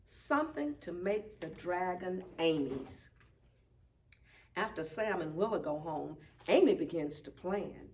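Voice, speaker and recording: A woman reading a children's picture book aloud at story time, expressive and deliberate. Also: lowish voice. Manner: deliberate, animated. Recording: distant mic, faint background noise.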